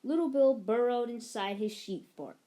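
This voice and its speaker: A woman reading a children's picture book aloud, narrating in an expressive, measured storytelling manner. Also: on the high side. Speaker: story reader.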